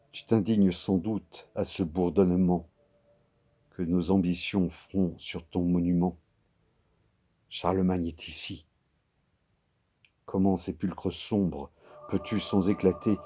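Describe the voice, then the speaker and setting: A man performing a brooding, ambitious king in a solemn, declamatory reading of a classic verse stage monologue, with deliberate pauses. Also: sits low, dark tone, husky, full character voice. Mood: solemn awe. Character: brooding ambitious king, grandiose and awed before a tomb.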